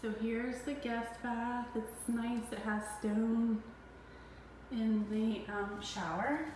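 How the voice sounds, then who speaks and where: Middle-aged woman filming on her phone, slow and plain, big echo from bare rooms, a bit highish. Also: steady tone, darkish tone, a little hiss or hum. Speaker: parent giving a house tour. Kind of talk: casual walkthrough narration. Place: empty townhouse, indoors. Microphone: phone camera held while walking. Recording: echoey empty rooms with long reverb.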